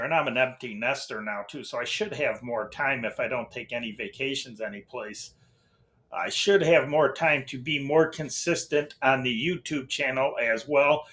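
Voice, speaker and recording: A man's voice: a little high, fairly bright tone. A middle-aged American man hosting a channel gives a casual, unscripted update, hesitant and rambling, often breaking off and repeating himself. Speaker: host of an old-time radio channel.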